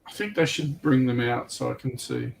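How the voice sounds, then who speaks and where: A man's voice: mid-range voice, normal speed, gravelly, slight background noise. A man co-hosting a livestream, chatting casually and asking questions.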